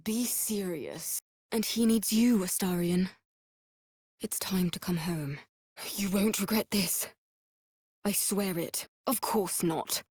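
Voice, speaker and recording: A woman's voice: mid-range voice, slow, pauses often, darkish tone, slightly rough, no room sound, studio quality. A woman playing a grave, weary vampire servant in a fantasy game, solemn and dramatic in delivery. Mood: wary, insistent. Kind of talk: scripted fantasy game acting.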